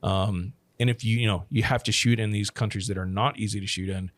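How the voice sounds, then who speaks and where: Low-voiced man rambling in a dry podcast. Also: fairly even intonation, fairly quick, no room sound. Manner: rambling, many restarts and "you know". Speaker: production company owner. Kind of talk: casual podcast conversation. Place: living abroad, working from Thailand.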